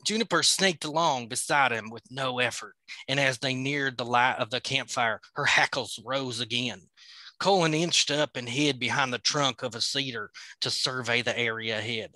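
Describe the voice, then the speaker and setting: A man's voice: mid-range voice, moderate pace, sharp tone, no room sound. A man hosting a podcast, reading a novel aloud with playful, joking asides. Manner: playful, laughs at his own slips.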